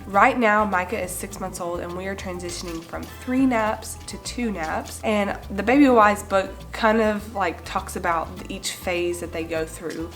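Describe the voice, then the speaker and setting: Nasal young mom with a cold. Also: female voice, on the low side. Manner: chatty, gestures with hands while explaining. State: has a cold, slightly raspy and nasal. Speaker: mom vlogger. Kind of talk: casual parenting vlog to camera. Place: bright living room indoors.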